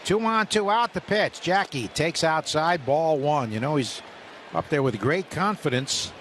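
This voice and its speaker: A male radio play-by-play announcer with a somewhat high-pitched voice, calling pitches and hits. His voice jumps up when the ball is put in play. Steady crowd noise from the ballpark fills the background. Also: unhurried. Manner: rises sharply on live action calls.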